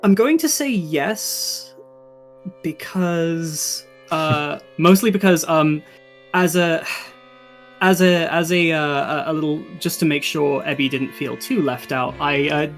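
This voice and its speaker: A game master improvising narration and chatting casually in hesitant, halting phrases. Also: mid-range voice, faint music behind.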